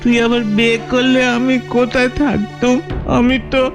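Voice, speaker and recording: Woman as poor cartoon village boy, plaintive, insistent, high-pitched, rural Bengali accent, music behind. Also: little pitch movement, unhurried, natural voice. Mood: plaintive, pleading. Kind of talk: melodramatic cartoon voice acting.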